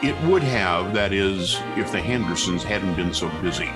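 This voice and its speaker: An actor narrating a 1940s radio comedy as a droll, mock-solemn storyteller. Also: male voice, deep voice, expressive, moderate pace. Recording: old radio recording, music behind. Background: loud music.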